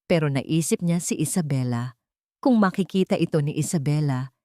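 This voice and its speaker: A woman narrating a dramatic scripted story in a suspenseful, deliberate way, in short phrases. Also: deep voice, warm tone, no echo, clean studio sound. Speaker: narrator of a Tagalog audio drama.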